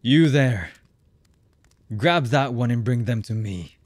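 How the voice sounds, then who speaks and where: An actor playing a cold, heartless villain boss in an ASMR roleplay, with a husky voice and a menacing, mock-polite manner. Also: male voice, deep voice, slow, mellow, no room sound. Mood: commanding. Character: cold, heartless male villain boss, calmly threatening captives.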